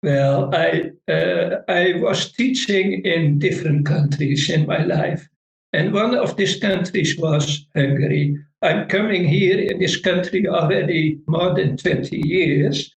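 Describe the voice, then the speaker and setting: Slow, gravelly Dutch-accented man. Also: high voice, echoey room, rough recording. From Netherlands. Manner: slow, halting non-native English with frequent restarts. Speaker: Vedic meditation teacher. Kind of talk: casual interview conversation.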